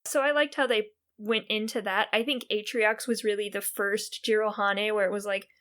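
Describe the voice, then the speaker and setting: A woman's voice: mid-range voice, steady tone, normal speed, fairly bright tone. A woman co-hosting a podcast, chatting about video game lore in an informal, playful, conversational way. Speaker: podcast co-host.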